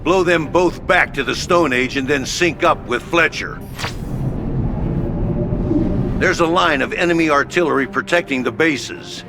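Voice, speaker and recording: An actor playing a gruff, brisk headquarters officer giving curt, commanding orders in a video game. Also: male voice, a little high, lightly acted. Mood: businesslike. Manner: curt, commanding, matter-of-fact. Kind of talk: video game mission control acting. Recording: loud music and engine noise behind.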